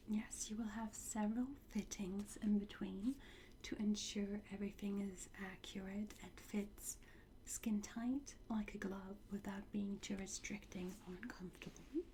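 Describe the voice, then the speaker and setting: Slow, whispering woman in ASMR roleplay. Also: medium pitch. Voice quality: breathy whisper. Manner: slow, gentle, reassuring, with soft pauses and hums. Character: calm, courteous woman training time-travel recruits. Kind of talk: soft whispered ASMR roleplay, addressing the listener. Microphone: close ASMR microphone. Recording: close whisper with clear background noise.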